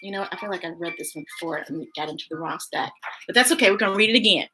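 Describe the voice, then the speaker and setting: A woman hosting a children's story time, reading picture books aloud expressively and chatting playfully with viewers in a gushing, animated way. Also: fairly low pitch, rapid-fire, a little hiss or hum, low-quality recording.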